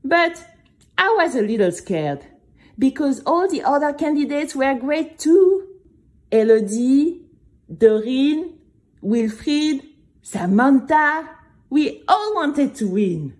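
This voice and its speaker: A woman's voice: high voice, darkish tone, pitched above the performer's own voice. A woman voicing a nervous, dreamy young girl who tells her own story with dramatic, chatty asides. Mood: anxious, hopeful. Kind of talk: scripted first-person storytelling for children.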